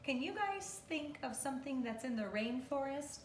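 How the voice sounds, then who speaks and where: A woman in her thirties, a kindergarten teacher reading aloud to young children in an expressive, instructive way and asking questions, recorded at home from a few metres away. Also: high voice, normal speed. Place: living room at home, indoors. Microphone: camera or phone a few metres away. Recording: roomy, distant mic, faint noise.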